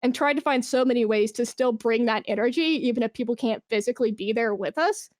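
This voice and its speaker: A woman's voice: fairly high pitch, brisk, no echo, clean studio sound. A woman speaking as a podcast guest in a casual, informal interview, effusive and emphatic about their work as an esports brand manager.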